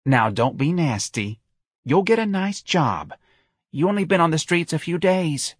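A man's voice: on the high side, sing-song, a little slow, husky, no echo, clean studio sound, voice pushed up, full character voice. A man voicing a doting, plaintive middle-aged working-class mother in folksy, ungrammatical speech for an audiobook. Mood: coaxing, concerned. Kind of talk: audiobook character voice.

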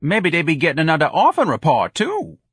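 A man voicing a sly, put-upon young bar porter in an audiobook, grumbling and defiant with drawn-out exclamations. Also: a little high, wildly swooping pitch, fairly bright tone, slightly rough, no echo, studio quality, voice pushed up, full character voice. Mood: indignant.

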